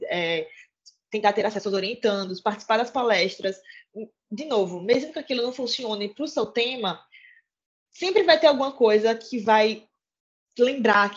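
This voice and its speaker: A woman's voice: medium pitch, muffled recording. Chatty young woman on a call. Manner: chatty, many fillers and self-corrections, earnest. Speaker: law student panelist. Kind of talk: informal talk to fellow students.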